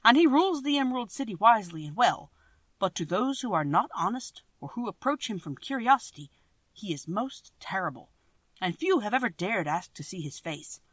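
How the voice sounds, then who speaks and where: A woman reading aloud and voicing a small green man who guards a city gate, in a loud, solemn, warning way. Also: mid-range voice, big pitch swings, moderate pace, dry recording. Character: stern little green male gatekeeper.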